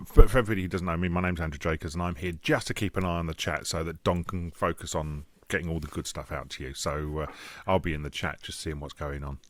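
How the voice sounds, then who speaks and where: A man with a deep voice chairing an online session, hesitant and full of fillers. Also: a bit bright, dry recording. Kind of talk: casual webinar chat.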